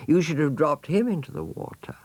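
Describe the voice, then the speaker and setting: A man voicing a gracious, patient mother jaguar in a storybook, lecturing deliberately. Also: on the high side, lively intonation, darkish tone, no room sound, pitched above the performer's own voice.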